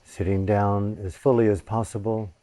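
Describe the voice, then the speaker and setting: Male meditation teacher, low and warm, very slow and reverent, long pauses. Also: level tone, no echo, poor audio. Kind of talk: guided meditation.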